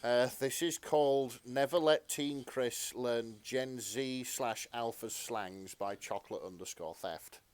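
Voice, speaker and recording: A man's voice: medium pitch, takes it slowly, few pauses, smooth, no echo. A man co-hosting a podcast, chatting casually and reading fan fiction in a hesitant, bemused, sweary manner. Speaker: podcast co-host.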